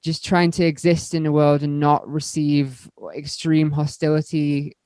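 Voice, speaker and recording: A young woman speaking as a panel guest, candid and stumbling, repeating words as she rushes ahead. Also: low-pitched, flat delivery, dark tone, smooth, dry recording, studio quality. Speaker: panel guest, electronic musician. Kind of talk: panel discussion.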